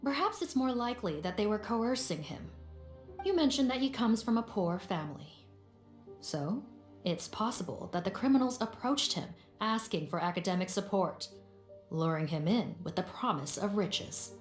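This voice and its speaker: A woman playing a composed, perceptive law officer in a video game, speaking formally and deliberately. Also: medium pitch, lively intonation, echoey room, soft background music, lightly acted. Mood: reasoned, sober.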